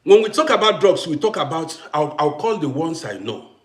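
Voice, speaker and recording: A man's voice: on the high side, big pitch swings, moderate pace, roomy sound. A middle-aged man from Congo hosting a casual live stream, talking emphatically, repeating himself and greeting viewers by name. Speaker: TikTok live host.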